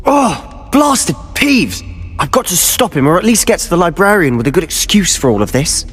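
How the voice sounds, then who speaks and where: A man's voice: high voice, sing-song, normal speed, fairly bright tone, faint music behind. A man playing a confident, roguish young student in a video game, with a British accent and an assured, conspiratorial manner. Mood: exasperated. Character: confident, roguish male student schemer, loyal and cheeky. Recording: long reverb, faint noise.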